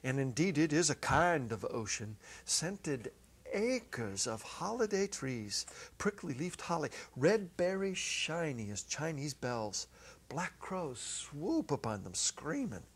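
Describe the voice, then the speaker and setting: A middle-aged man giving an expressive dramatic reading of a literary short story, with deliberate pauses. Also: a little high, slightly rough. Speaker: narrator, reader. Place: indoor set, seated at a music stand. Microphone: clip-on lapel.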